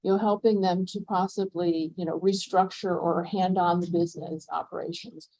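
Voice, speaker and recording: A woman moderator with a dark, mellow voice and a gravelly edge. She speaks in a measured way and sums up her guests' points. She is recorded in a conference room. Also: on the low side, fairly even intonation, poor audio. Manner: measured, sums up what others said. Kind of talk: interviewing panel guests.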